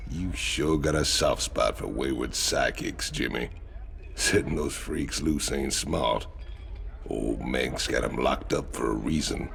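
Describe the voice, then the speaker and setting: An actor playing a grizzled, blunt mercenary pilot with a gravelly drawl in video game dialogue. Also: male voice, rumbling low, slow, fairly bright tone, slight background noise, full character voice. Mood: skeptical.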